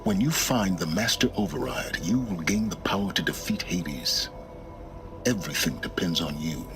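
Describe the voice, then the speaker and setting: A grave, learned male guide in a game drama. His deep voice moves expressively as he lectures in formal, measured phrases. Music plays behind him. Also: lively intonation. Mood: solemn, urging. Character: grave learned man guiding a young woman remotely. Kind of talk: video game dramatic acting.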